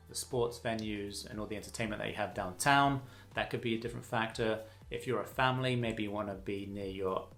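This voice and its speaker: A man's voice: fairly low pitch, vocal fry, fairly bright tone. A man in his forties from England, with an English accent and a slight Canadian twang, giving casual, friendly advice to camera as a real estate broker. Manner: friendly and chatty, with some fillers.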